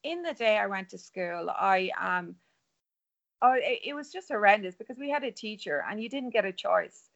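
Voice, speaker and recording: A woman's voice: medium pitch, animated, moderate pace, vocal fry, a bit bright, dull audio. A middle-aged Irish woman talking earnestly and rambling as a guest on a remote podcast interview. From Ireland. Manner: chatty, rambling, earnest storytelling.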